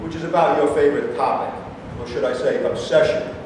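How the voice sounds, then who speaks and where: A man's voice: on the high side, a little fast, natural voice. Man playing a combative, sarcastic debater, very echoey. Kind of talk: staged reading of scripted dialogue. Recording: echoey hall, distant mic, noisy.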